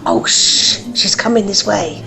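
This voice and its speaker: A woman's voice: medium pitch, measured pace, vocal fry, bright, forceful tone. A woman playing a catty, mocking village gossip in a comic radio drama. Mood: catty, dry.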